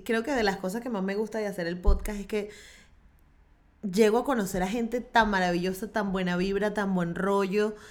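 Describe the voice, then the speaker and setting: A woman's voice: mid-range voice, fairly even intonation, normal speed. A woman hosting a podcast, talking with eager enthusiasm and lots of fillers. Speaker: podcast host. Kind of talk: casual podcast chat.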